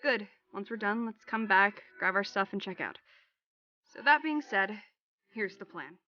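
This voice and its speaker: A woman's voice: mid-range voice, expressive, a little slow, bright, forceful tone, no room sound, muffled recording. Decisive young woman acted in fantasy roleplay. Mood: serious, reassuring. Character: loyal, decisive young woman, hero's best friend and planner. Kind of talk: fantasy audio roleplay acting.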